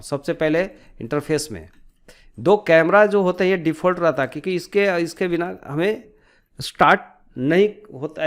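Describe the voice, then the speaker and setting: Higher-voiced man teaching step by step. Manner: explanatory, often checks with tag questions. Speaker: software tutorial instructor. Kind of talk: informal step-by-step screen tutorial narration.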